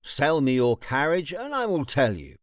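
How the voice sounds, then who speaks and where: An actor voicing a shrewd, authoritative lord in a fantasy audiobook, speaking formally and sternly. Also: male voice, fairly high pitch, wildly swooping pitch, moderate pace. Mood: commanding. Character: commanding, shrewd male lord giving stern orders. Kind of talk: audiobook character acting.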